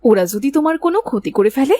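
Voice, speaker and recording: A woman's voice: high voice, sing-song, quick pace, warm tone, soft background music. A woman playing a farmer's anxious, scolding wife in a children's cartoon story. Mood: worried.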